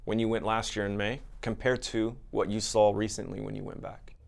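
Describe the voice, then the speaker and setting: A man's voice: on the low side, level tone, fairly quick, vocal fry. A man hosting a podcast interview, politely checking facts with his guests. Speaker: podcast host.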